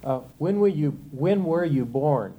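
A man's voice: on the high side, animated, unhurried, phone-quality sound. A man conducting an oral history interview, asking brief, plain questions.

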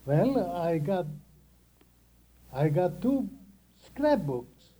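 Old man, very slow, halting restarts, dark husky voice. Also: high-pitched, sing-song. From Russian Empire, near Kiev. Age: older. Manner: halting, with many restarts and repeated words. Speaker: interviewee. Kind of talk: oral history recollection.

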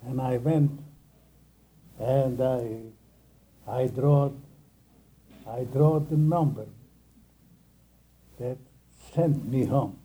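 An elderly man, slowly recalling his life in an oral history interview and often stopping to restart his words. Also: mid-range voice, mellow, slightly rough. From Russian Empire, near Kiev. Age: older. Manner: halting, with many restarts and repeated words.

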